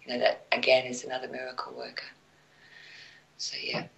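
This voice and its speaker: Very deep, gravelly-voiced woman. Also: completely flat delivery, a little fast, a bit bright, rough recording. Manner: emphatic, trails off into near whispers. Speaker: show guest. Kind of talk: casual internet radio chat.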